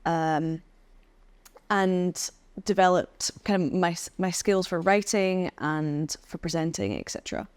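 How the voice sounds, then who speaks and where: A woman from the UK talks through her career in a relaxed interview, pausing often and restarting her sentences. Her voice is smooth and clear, sitting a little low, and the recording is close and dry. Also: no room sound. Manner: thoughtful, hesitant with many ums and restarts. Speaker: podcast guest, head of communications. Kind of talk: casual interview chat.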